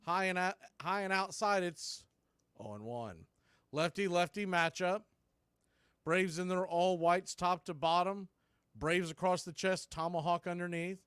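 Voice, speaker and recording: A man calls a baseball game for a fan radio stream, his fairly high voice smooth and resonant on a close, dry mic. He moves between pitch calls and casual, opinionated asides. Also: a little slow, fairly bright tone, dry recording. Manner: conversational, opinionated fan commentary. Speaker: fan radio play-by-play host. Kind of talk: play-by-play baseball commentary.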